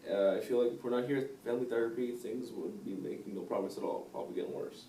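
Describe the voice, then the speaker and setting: A man's voice: mid-range voice, fast, mellow, lightly acted. A man playing a defensive sixteen-year-old son in family therapy, in an amateur role-play. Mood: grudging, subdued. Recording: roomy, distant mic with faint noise.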